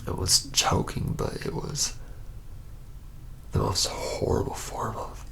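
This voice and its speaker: A man playing a haunted, reluctant young vampire in a whispered ASMR roleplay, telling his story haltingly in a breathy, gravelly voice. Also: fairly bright tone, a little hiss or hum. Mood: haunted, fearful. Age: young adult. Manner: halting, hesitant storytelling with heavy pauses.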